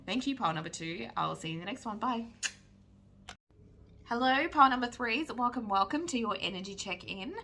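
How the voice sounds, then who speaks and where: A woman's voice: a little high, lively intonation, a little fast, sharp tone, slight background noise. A woman giving a pick-a-card tarot reading while she is losing her voice, talking in a chatty way and often checking in with "right?". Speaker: psychic tarot reader.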